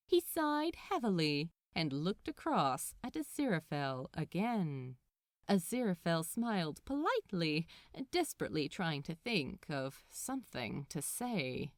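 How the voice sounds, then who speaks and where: A woman narrates fan fiction expressively, with pitch that moves in lively sweeps. She takes her time over the sentences, and the sound is close and dry. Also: no room sound. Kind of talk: expressive scripted story narration.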